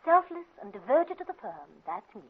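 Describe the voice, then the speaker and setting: An actress playing a pert, teasing secretary, bantering in a radio drama. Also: female voice, a little high, big pitch swings, a little fast, breathy edge. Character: pert, teasing female detective agency secretary. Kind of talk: British radio drama acting. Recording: tinny, noisy, echoey, distant radio recording.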